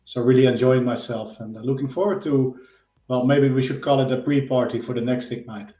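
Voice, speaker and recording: A man from the Netherlands introducing himself as a panel guest, relaxed and friendly. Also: medium pitch, fairly quick. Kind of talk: casual online panel chat. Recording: echoey muffled call audio.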